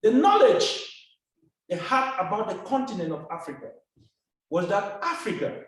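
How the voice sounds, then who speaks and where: A man from Cameroon giving a formal seminar presentation, speaking slowly and deliberately. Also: high-pitched, sing-song. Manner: slow, deliberate, formal phrasing. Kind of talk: lecture presentation. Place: seminar hall. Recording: echoey hall from a distance.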